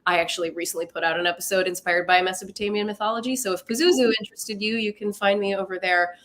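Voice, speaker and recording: A woman in her thirties talking casually as a podcast guest, telling stories in an animated way. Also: fast. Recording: low rumbly background noise.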